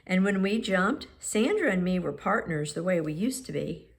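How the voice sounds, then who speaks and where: A woman reading a children's picture book aloud in measured, expressive phrases with pauses. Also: lowish voice. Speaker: storybook reader.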